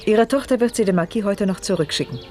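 A woman's voice: mid-range voice, animated, quick pace, mellow, faint music behind, lightly acted. Actress as haughty noblewoman in a period radio drama. Curt, commanding, cold, vengeful. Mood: cold, stern. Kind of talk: 1970s German radio play acting.

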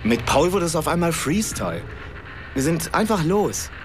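A man's voice: a little high, big pitch swings, normal speed, heavy background noise. An actor playing an exhilarated, free-spirited man recounting his experiences in a German radio drama.

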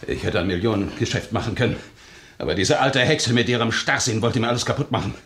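Radio crime play villain, male actor: cornered, embittered murderous businessman, lowish pitch, rapid delivery. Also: fairly bright tone, lightly acted. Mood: bitter confessing. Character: cornered, bitter male estate agent turned murderer. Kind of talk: 1970s German radio crime drama acting.

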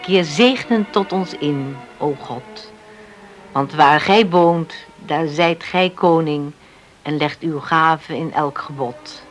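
A woman's voice: low-pitched, slow, faint music behind. A woman acting a devout, sentimental farm mother in a Dutch radio drama, on a hissy old recording. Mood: solemn.

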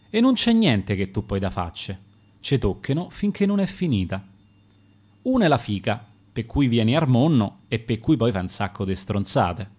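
A man's voice: medium pitch, darkish tone. A middle-aged man with a Roman accent, reading his own rhymed dialect poetry in a rhythmic, declaiming way.

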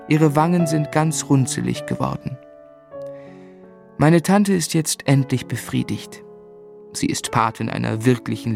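A devoted, reflective novelist looking back in a literary radio drama. His delivery is earnest and measured, at a medium pitch. Music plays clearly beneath the voice. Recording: studio radio play with music underneath.

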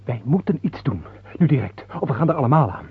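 An actor playing an anxious, urgent conspiring viscount in a radio drama, with a husky, pressing voice. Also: male voice, medium pitch, lively intonation, fast, lightly acted. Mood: anxious, pleading. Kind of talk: theatrical radio drama acting. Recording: muffled, phone-like old radio play recording.

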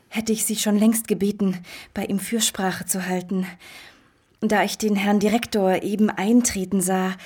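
A seductive, scheming wife in a radio play, mellow and breathy. She is polite and ingratiating, angling for favours. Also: medium pitch. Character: pretty, seductive, scheming married woman seeking favours. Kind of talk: German radio drama acting.

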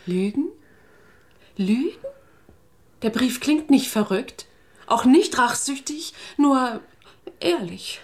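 An actress playing a hurt, distrustful, tired wife in a German radio drama. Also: female voice, high voice, lively intonation, slow, long pauses, exaggerated voice. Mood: wounded, accusing.

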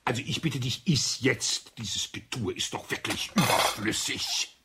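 An actor playing a suave, sinister husband in a radio play, a colonel with many dead wives, husky and languid. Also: male voice, mid-range voice, expressive, measured pace, background noise, lightly acted. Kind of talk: radio drama acting.

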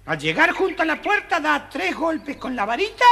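Casting: cheeky little dwarf jester for a children's tale, piercing and very high. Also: wildly swooping pitch, normal speed, gravelly, bright, forceful tone, exaggerated voice. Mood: instructing. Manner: exclamatory, bossy, teasing. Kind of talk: children's audio story acting.

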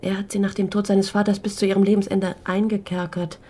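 An actress playing a dry, matter-of-fact police investigator in a radio play, informal and wry. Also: female voice, mid-range voice, level tone, fairly quick, mellow, lightly acted. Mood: wry, gossipy. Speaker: police assistant. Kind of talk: German radio play acting.

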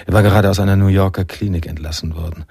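An actor playing the reflective male narrator of a noir jazz radio play, with a deep, gravelly voice. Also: fairly even intonation, fast, darkish tone, no echo, clean studio sound. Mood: matter-of-fact. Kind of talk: scripted radio drama narration.

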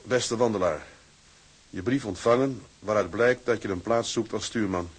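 A man's voice: on the low side, normal speed, a little hiss or hum, natural voice. A man acting in a radio drama as an earnest, plain-spoken seaman out of work but hopeful. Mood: relieved, cheerful.

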